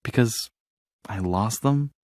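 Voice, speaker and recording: A man's voice: mid-range voice, takes it slowly, darkish tone, no echo, clean studio sound, lightly acted. A man voicing an earnest, forgetful young female blue fish in a storybook, with a hesitant, pleading manner. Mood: sad, worried.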